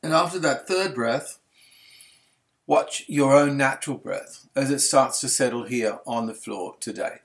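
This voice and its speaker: A man with a medium-pitched voice leads a guided relaxation as a yoga instructor. He is calm and unhurried, offering each instruction gently and soothingly.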